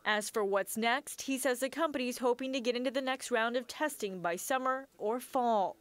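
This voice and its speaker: A woman's voice: fairly high pitch, moderate pace. A woman with an American accent reading scripted news narration as a reporter. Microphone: close studio voice-over mic.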